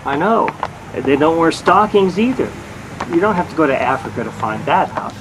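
An actor with an American accent playing a jealous, bumbling young husband, sulky, boastful and sheepishly stammering, in a 1940s radio comedy. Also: male voice, on the high side, very animated, normal speed. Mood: grumbling, sarcastic. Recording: noisy old-time radio recording.

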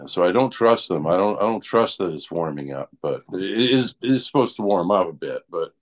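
A man in casual conversation, explaining things haltingly and repeating words as he goes. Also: deep voice, animated. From Canada. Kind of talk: casual group chat about weather.

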